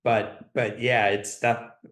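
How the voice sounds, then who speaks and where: A man's voice: mid-range voice, little pitch movement, takes it slowly, a bit bright, echoey room. A man who grew up in London but speaks with an American accent, giving conversational answers as a podcast guest. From London, England. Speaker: podcast guest, company CFO.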